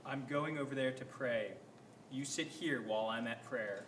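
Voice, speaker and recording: A man's voice: medium pitch, moderate pace, a bit bright, close to an everyday voice. A man reading the part of Jesus, a grave and sorrowful teacher, in a reader's theater performance of scripture. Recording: echoey room, faint background noise.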